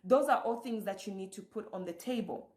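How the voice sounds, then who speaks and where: A woman's voice: medium pitch, a little fast. Woman host, motivational coach, echoey room sound. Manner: coaching, rhetorical questions to the listener. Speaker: summit host. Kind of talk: motivational address.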